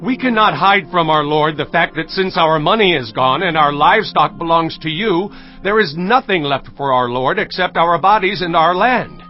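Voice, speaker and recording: An actor playing a starving Egyptian commoner, earnest and imploring, in a dramatized audio Bible. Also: male voice, fairly high pitch, expressive, normal speed, faint music behind, close to an everyday voice. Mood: desperate pleading. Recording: dull lo-fi audio.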